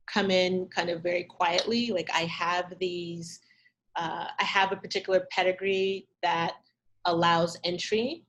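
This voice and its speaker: A woman curator answering questions in a talk, thoughtful and measured, pausing often over a video call. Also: lowish voice, a bit bright, rough recording. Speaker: arts curator, guest speaker.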